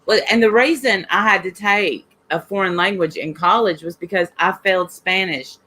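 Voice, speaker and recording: A woman hosting a relaxed conversation. She talks candidly and pokes fun at herself, with casual swearing mixed in. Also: medium pitch, moderate pace. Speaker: host. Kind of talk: casual chat.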